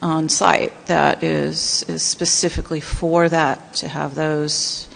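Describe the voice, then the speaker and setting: Soft-spoken woman, slow and gravelly. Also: low voice, little pitch movement, big-room sound, a little hiss or hum. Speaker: commissioner. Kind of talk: public meeting remarks.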